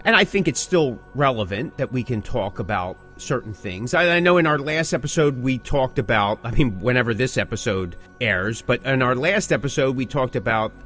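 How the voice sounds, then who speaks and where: A man's voice: mid-range voice, expressive, moderate pace, few pauses, a bit bright, soft background music. A middle-aged man co-hosting a podcast in casual chat, emphatic and prone to repeating his phrases. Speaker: podcast co-host.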